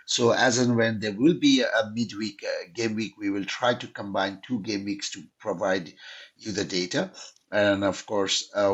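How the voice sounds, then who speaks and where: Husky man with a cold hosting. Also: on the low side, a bit bright, dull audio. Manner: frequent fillers and "of course". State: hoarse with a cold. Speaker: podcast host. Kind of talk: casual chat.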